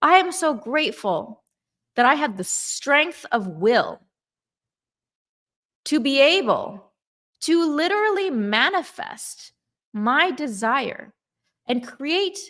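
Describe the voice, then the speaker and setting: A woman giving a slow, emphatic motivational talk on manifestation and gratitude. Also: high voice, big pitch swings, a bit bright. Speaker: manifestation coach.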